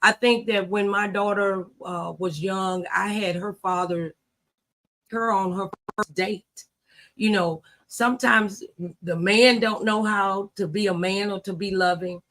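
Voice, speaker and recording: A woman's voice: medium pitch, fairly even intonation, measured pace, a bit bright. Lady host, middle aged, just talking casually on her show. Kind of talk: casual conversational hosting.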